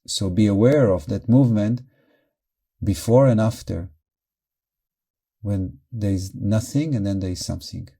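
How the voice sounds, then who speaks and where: Very mellow, soft-edged male meditation guide. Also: on the low side, creaky. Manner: calm, measured, short phrases with pauses. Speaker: meditation teacher.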